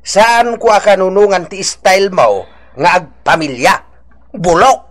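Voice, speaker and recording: A man's voice: sits high, measured pace, fairly bright tone. A man with an Ilocano accent playing an exasperated, preachy older father in a radio drama. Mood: scolding. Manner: scolding, preachy, exclaiming. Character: scolding, exasperated older father-in-law, concerned grandfather.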